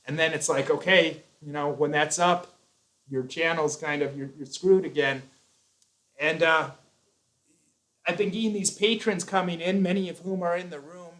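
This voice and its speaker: A man's voice: fairly high pitch, fairly even intonation, normal speed, a bit bright, poor audio. A man hosting a live chat, talking earnestly and at length, with frequent restarts. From United States. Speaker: YouTube host.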